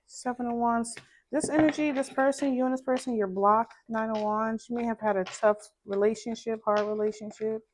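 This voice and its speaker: A woman with a low voice, doing a casual tarot reading in a soft, musing way and repeating phrases as she thinks. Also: slight background noise. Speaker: tarot card reader.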